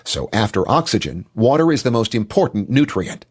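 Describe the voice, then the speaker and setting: A man's voice: fairly low pitch, very animated, normal speed, breathy edge. A man giving a motivational health talk in an emphatic, declarative manner.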